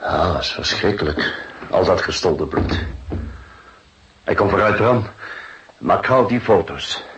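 A seasoned cop in a radio play, dry and unhurried, dull faintly noisy audio. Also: male voice, deep voice, animated, fairly bright tone. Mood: sober, businesslike. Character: seasoned male police detective, unhurried and drily observant. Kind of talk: radio drama acting. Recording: muffled radio recording with faint noise.

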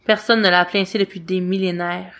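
A woman's voice: on the low side, fairly even intonation, fast talker, fairly bright tone. Woman reading a story aloud. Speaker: amateur fan fiction reader. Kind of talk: scripted story narration.

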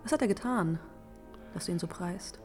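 An actress playing a sceptical, teasing, unmarried royal sister in a fantasy radio drama. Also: female voice, fairly low pitch, moderate pace, faint music behind, lightly acted. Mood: sceptical curiosity. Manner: teasing, questioning.

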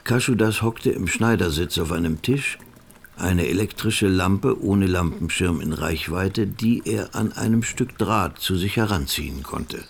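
An actor as the measured, matter-of-fact narrator of a radio murder story. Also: male voice, low voice, vocal fry, slightly rough, full character voice. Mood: measured, ominous. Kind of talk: scripted radio play narration.

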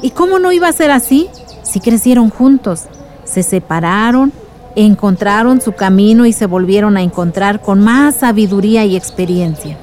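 A woman playing a warm, reflective village aunt who recounts the past in poetic phrases in a radio drama. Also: medium pitch, animated, normal speed, close to an everyday voice.

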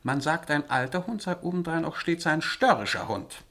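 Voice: medium pitch, animated, normal speed, fairly bright tone. An actor in a radio drama playing a haughty, thrifty monarch with a condescending, pointed manner. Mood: cold, reproachful. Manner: condescending, pointed, rhetorical questioning. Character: haughty, thrifty monarch rebuking an aging minister.

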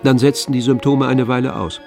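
A man narrating a literary radio drama in a measured, grave manner. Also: mid-range voice, steady tone, darkish tone, faint music behind.

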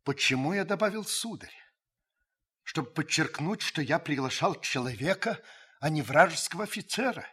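Watchful older narrator, radio drama, very breathy husky voice, tense edge, solemn, deliberate pauses. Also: male voice, fairly high pitch, expressive, sharp tone, heavily acted. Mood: agitated, questioning. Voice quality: husky, breathy, sinking to whispers. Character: grave, watchful male householder recalling events as narrator. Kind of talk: dramatic literary narration, old radio play.

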